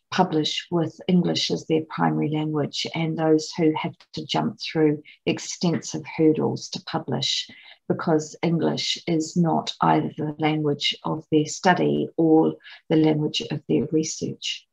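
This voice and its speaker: Gravelly, husky New woman lecturing. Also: sits low, darkish tone, lo-fi sound. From New Zealand. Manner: measured, explanatory, with frequent ums and restarts. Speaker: clinical academic presenting at a conference. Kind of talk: online conference lecture over slides.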